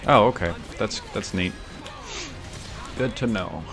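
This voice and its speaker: Low-voiced, rambling man streaming a game. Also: slow, vocal fry, over music. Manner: rambling, self-correcting, bookish. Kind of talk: casual gameplay commentary.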